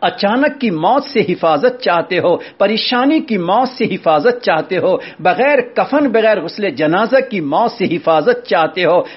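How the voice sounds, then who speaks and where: A man with a notably high voice preaches with fervor, building his points through insistent repetition and chanted refrains. The recording is muffled and lo-fi. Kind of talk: sermon. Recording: dull lo-fi recording.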